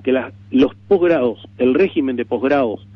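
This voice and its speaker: A man with a Uruguayan accent explaining proposals in a radio interview, deliberate and repeating words for emphasis. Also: mid-range voice, slightly rough, no room sound. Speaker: political interviewee. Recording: narrow tinny AM radio stream.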